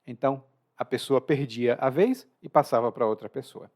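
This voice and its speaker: A man with a Brazilian Portuguese accent hosts a podcast lesson. He is chatty and expressive and slows down to stress key words. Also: mid-range voice. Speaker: language teacher and podcast host.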